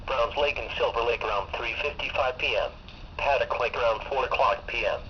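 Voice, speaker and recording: A man's voice: mid-range voice, brisk. A man reading a scripted emergency weather alert as a formal, impersonal radio announcer. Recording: muffled, very noisy radio broadcast re-recorded in a room.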